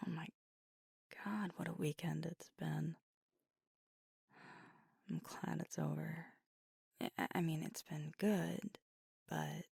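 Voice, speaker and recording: A woman acts out a fiery, proud werewolf girlfriend, worn out and confiding late at night. Her voice is low-set, husky and gravelly, often sinking into a breathy whisper. She talks haltingly in an intimate ASMR roleplay. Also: steady tone, dry recording, clean studio sound, exaggerated voice. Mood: relieved, tired. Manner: hushed, halting, sighing, often slipping into whisper.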